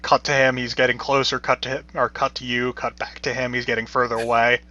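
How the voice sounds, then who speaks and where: A man narrating a tabletop game as game master, in a relaxed, laid-back way with many fillers. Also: medium pitch, brisk. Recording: dull lo-fi recording.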